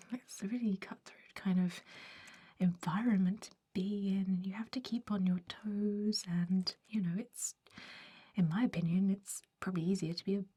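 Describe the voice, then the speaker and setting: A woman's voice: mid-range voice, steady tone, moderate pace, warm tone, husky, close to an everyday voice. A woman playing a centuries-old, fussy vampire recruiter in an ASMR roleplay, whispering with a hesitant, politely dismissive manner. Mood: politely unimpressed.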